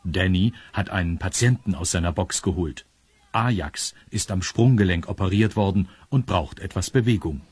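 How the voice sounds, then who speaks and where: Low, husky man narrating a story. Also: normal speed, dry recording. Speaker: narrator of a children's radio play.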